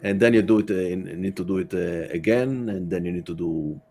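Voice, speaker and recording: Male guest, deep voice, matter-of-fact and halting in an informal interview. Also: a little mellow, a little hiss or hum. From Israel. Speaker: podcast guest. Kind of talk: casual interview answers.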